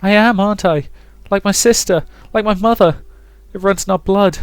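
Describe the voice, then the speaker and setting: Man voicing a distraught, high-pitched young woman. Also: clear, resonant tone, no room sound, lightly acted. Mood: hollow despair. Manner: halting, repeating words. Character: distraught young woman, fragile, tormented, near madness.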